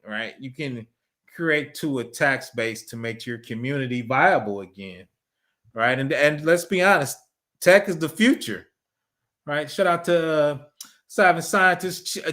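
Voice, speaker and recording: A man's voice: on the high side, moderate pace, a bit bright. Laid-back man in his fifties, laughing often. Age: 50s. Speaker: livestream host reading super chats. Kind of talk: casual livestream chat.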